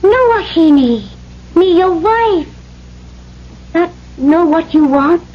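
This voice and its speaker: Very high-voiced young island bride. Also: female voice, animated, takes it slowly, heavily acted. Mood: tender, shy. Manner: simple broken English mixed with island words. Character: gentle young Polynesian island bride, devoted and trusting. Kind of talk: old-time radio drama acting. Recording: muffled old radio broadcast, faint hum.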